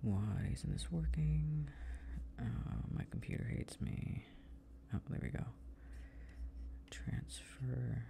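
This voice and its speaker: A man doing a soft ASMR boyfriend roleplay, murmuring and half-whispering to himself as he works. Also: medium pitch, animated, slow pace, gravelly, long pauses. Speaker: audio roleplay performer playing a boyfriend. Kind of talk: soft improvised ASMR roleplay, muttering to himself while working.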